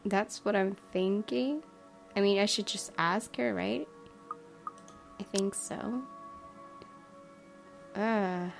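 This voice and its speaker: A woman's voice: medium pitch, takes it slowly, creaky, long pauses. Woman reading a game aloud, music behind. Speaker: gaming video host. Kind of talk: reading visual novel narration aloud, with casual commentary.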